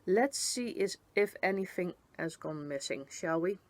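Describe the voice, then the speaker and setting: Woman voicing a brisk, official police constable. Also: on the low side, expressive. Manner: official, instructing. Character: police constable, calm, brisk, practical authority.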